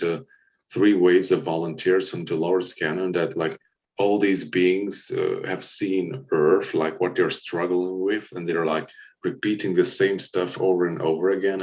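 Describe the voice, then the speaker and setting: A man's voice: deep voice, distant mic. A man hosting a casual spiritual livestream chat, rambling along and filling nearly every phrase with "like". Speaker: host.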